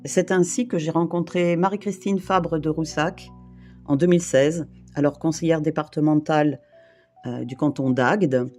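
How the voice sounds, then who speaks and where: A woman in her fifties from the Paris region, reading a personal testimony in a measured, formal way. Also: low voice, steady tone, dark tone. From France, Île-de-France. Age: 50s. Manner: measured, formal, list-like delivery. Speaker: brief therapist and volunteer rescuer. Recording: guitar music behind.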